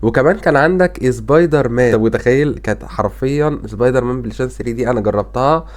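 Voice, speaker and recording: Male Egyptian gaming YouTuber, mid-range pitch, racing delivery, casual and exclamatory, slightly worn-out tired voice. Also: racing. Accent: Egyptian Arabic. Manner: informal, emphatic, exclamatory. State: tired, voice strained from being worn out. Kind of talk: casual gaming vlog narration.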